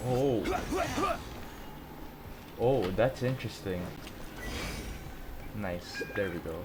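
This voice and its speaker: A man's voice: on the low side, drawn-out words. A man reacting casually to a video game as he plays, in the manner of a streamer. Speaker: gaming streamer. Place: indoors, talking over game audio. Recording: clear game noise behind voice.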